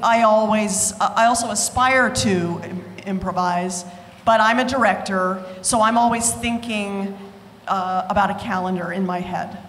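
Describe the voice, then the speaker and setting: A woman's voice: mid-range voice, normal speed, a bit bright. Woman, theater director, rambling and enthusiastic, long hall reverb. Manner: rambling, self-correcting, enthusiastic. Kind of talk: group discussion.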